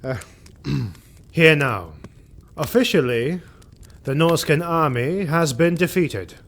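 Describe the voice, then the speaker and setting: An actor playing a grim, vengeful Imperial officer in a fantasy audio drama, speaking solemnly and formally with deliberate emphasis. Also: male voice, on the high side, animated, fairly bright tone, heavily acted. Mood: ominous, bitter. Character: grim, vengeful male Imperial army officer recounting war.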